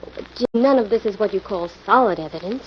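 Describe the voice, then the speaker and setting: A woman's voice: mid-range voice, fast, lightly acted. An actress playing a concerned, inquisitive female assistant in a golden-age radio mystery. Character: earnest, attentive female assistant to the hero. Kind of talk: 1940s radio acting. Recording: muffled, noisy old radio recording.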